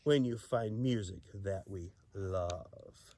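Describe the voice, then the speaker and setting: Man voicing an earnest, slow-spoken storybook giraffe. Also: low voice, dark tone, pitched below the performer's own voice. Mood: content. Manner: earnest, simple, emotional. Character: shy, sad young giraffe who finds joy in dancing.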